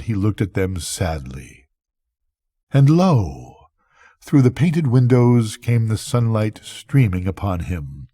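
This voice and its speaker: An older man tells a bedtime story. His voice is mellow and on the low side, with a husky texture. He takes his time over the scripted lines. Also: dry recording.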